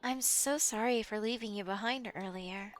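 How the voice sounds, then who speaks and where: A woman's voice: medium pitch, normal speed. A woman streamer chatting wryly while playing a game and reading menu items aloud. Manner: chatty, wry, reads game text aloud. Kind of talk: casual let's-play commentary.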